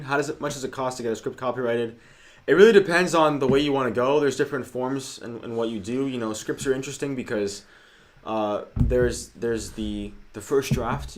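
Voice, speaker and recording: A man co-hosting a casual livestream, talking through viewer questions in a loose, conversational way. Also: medium pitch. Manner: conversational, many fillers, hedging asides. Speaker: co-host.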